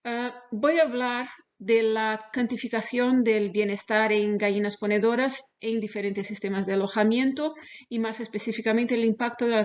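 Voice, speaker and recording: A woman researcher gives an online lecture in Spanish, which is not her native language. Her pitch is mid-range for a woman and her phrasing is careful and a little halting. The webinar audio is slightly dull and lo-fi. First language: not Spanish, speaks Spanish as a second language. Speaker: animal welfare researcher, webinar presenter.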